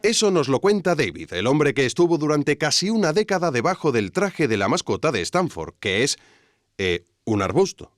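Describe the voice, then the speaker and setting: An actor playing an earnest, self-satisfied investigative podcast host, narrating a mock documentary. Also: male voice, fairly high pitch, very animated, normal speed, dry recording, clean studio sound. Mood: wry.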